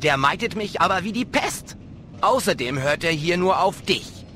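Complaining cop, very high, sharp, swooping intonation, radio drama. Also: male voice, expressive, normal speed, a little hiss or hum. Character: male police colleague, loud, griping creditor. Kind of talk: audio drama acting.

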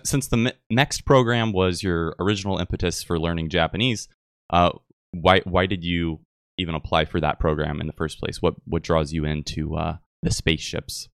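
Young male podcast host, very low voice, dry close sound, jokey, halting delivery. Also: fairly bright tone, no room sound. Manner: joking, halting, many restarts and "uh". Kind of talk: casual podcast interview.

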